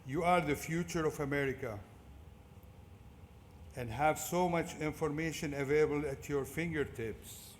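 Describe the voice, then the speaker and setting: A middle-aged man from Syria with a Syrian Arabic accent, giving a prepared motivational commencement speech in deliberate short phrases. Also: a little high. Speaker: commencement speaker. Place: large indoor fieldhouse at a ceremony. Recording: echoey fieldhouse PA with clear background noise.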